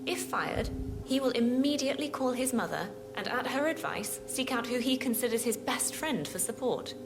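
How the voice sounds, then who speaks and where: Composed corporate briefer, music behind. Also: female voice, on the high side, animated. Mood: composed, assured. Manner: measured, matter-of-fact. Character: composed corporate woman profiling her staff. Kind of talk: video game voice acting, briefing.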